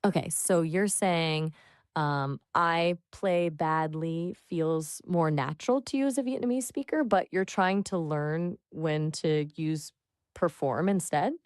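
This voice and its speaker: A woman tutors spoken English in a fairly low voice, recorded close and dry. She is patient and praising, and breaks down word choices step by step. Her explanations come out clear and steady. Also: slow pace, dry recording. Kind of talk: encouraging language coaching.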